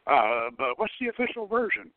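A man's voice: fairly high pitch, big pitch swings, moderate pace, dry recording. Man reading as deferential sergeant, phone-like sound. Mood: deferential, uneasy. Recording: thin phone-like radio line.

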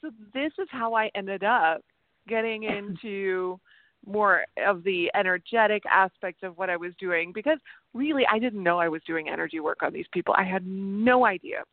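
A middle-aged woman, raised in Germany with German as her first language, telling her story as an interview guest in an animated way, often laughing and acting out other people's words. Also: medium pitch, moderate pace, dry recording. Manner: animated, laughs often, acts out quoted voices. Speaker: guest, energy healer. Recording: tinny phone-like call audio, dry.

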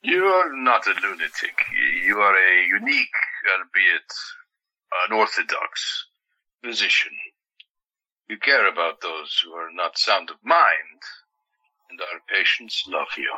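Forceful, husky senior doctor, played by an actor. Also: male voice, sits low, very animated, exaggerated voice, low-quality recording. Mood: reassuring. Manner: deliberate, formal, reassuring. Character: supportive, authoritative male senior hospital doctor. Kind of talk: audio drama acting.

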